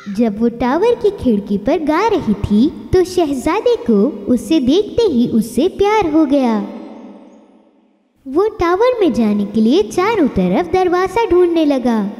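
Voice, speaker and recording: Lady narrating kids fairy tale, slow, soft mellow tone, long reverb. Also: high voice. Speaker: storyteller, narrator.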